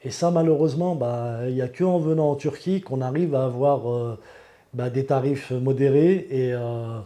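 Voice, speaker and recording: A man's voice: medium pitch, fairly even intonation, normal speed, mellow. A man, giving an unscripted testimonial as a patient in an earnest, emphatic way. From Lyon, France. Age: 40s.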